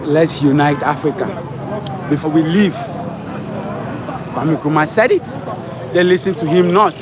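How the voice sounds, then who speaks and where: Fervent man amid a loud crowd. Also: fairly high pitch, unhurried. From Ghana. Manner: fervent, repetitive rallying speech. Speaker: vlogger reporting from a march. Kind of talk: outdoor street vlog commentary. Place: outdoors at a street march. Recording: loud crowd noise outdoors. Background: chanting crowd and sirens.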